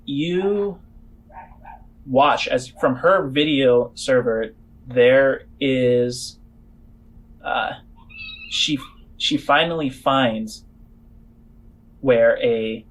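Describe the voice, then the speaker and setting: A man's voice: medium pitch, low-quality recording. A man narrating a tabletop roleplaying game as game master, improvising and making spoken sound effects.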